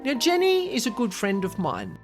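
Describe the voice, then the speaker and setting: Female podcast host. Expressive, wide-ranging intonation, conversational solo delivery, emphatic and straight to the listener. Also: on the high side, moderate pace, over loud music. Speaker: podcast host and paranormal specialist.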